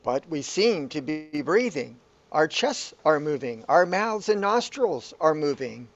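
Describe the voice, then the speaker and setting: A man's voice: on the high side, sing-song, a little slow. Guy playing a confused hero in a radio play, keeps questioning stuff, swoopy intonation, lofi sound. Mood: puzzled. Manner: puzzled, questioning. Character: befuddled bornless hero, questioning his unreal surroundings. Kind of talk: amateur radio play acting. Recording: dull lo-fi audio.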